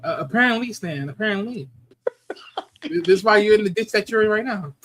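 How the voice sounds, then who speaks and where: A man's voice: sits high, lively intonation, fairly quick, a bit bright, a little hiss or hum. A man guesting on a casual podcast, blunt, profane and mocking. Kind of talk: casual chat.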